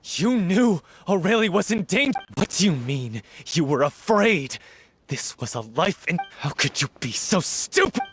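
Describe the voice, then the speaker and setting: A man's voice: high voice, big pitch swings, measured pace, fairly bright tone, slight background noise, heavily acted. Intense, hot-tempered man. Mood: furious. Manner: intense, accusatory, dramatic. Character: hot-tempered, grieving man of a theater troupe. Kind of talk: dramatic video game voice acting.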